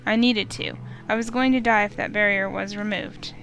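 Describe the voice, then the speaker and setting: A woman's voice: medium pitch, fairly even intonation, moderate pace, a bit bright, highs cut off. A woman narrating a visual novel in first person, emotive and urgent.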